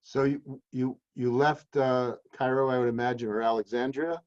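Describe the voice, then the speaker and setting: A man's voice: mid-range voice, fairly even intonation, moderate pace, a little mellow. Male interviewer, dull lo-fi sound. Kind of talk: conversational questioning.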